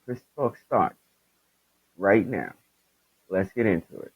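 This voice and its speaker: A man hosting his own podcast, his voice raspy and stuffed up, chatting loosely and rambling as he talks straight to his listeners about the news. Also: low voice, very animated, slow, phone-quality sound. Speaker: podcast host. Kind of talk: casual commentary on news stories.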